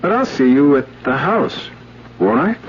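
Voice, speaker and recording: An actor playing an affectionate, flattering man with an effusive, teasing manner in a 1970s radio drama. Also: a little high, measured pace. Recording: muffled, hissy 1970s radio recording.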